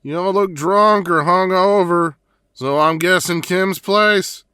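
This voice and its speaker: A man's voice: high voice, takes it slowly, pushed and bright, clean tone, dry recording, exaggerated voice. A man playing a laid-back young brother in an audio drama, with a dry, teasing manner. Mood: dry, casual.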